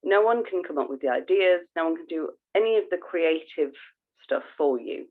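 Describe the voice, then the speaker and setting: A woman co-hosting a podcast, chatting informally and explaining things with halting emphasis. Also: low-pitched, animated. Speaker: podcast co-host. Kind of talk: casual podcast chat. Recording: thin phone-like call audio.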